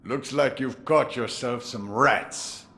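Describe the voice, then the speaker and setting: An actor playing a crafty, jovial old samurai sword master in a video game, musing theatrically with drawn-out amusement. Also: male voice, medium pitch, creaky, bright, forceful tone, slight background noise, exaggerated voice. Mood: sly approval. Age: older. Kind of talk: dramatic video game voice acting.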